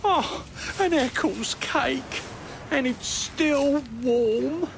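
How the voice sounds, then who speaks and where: Miserable jumpy police sergeant, radio comedy, really high voice, slow n hesitant. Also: male voice, heavily acted, rough recording. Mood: glum. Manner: hesitant, put-upon, blunt. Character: nervy, miserable older police sergeant, gruffly undercover. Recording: clear background noise and ambience.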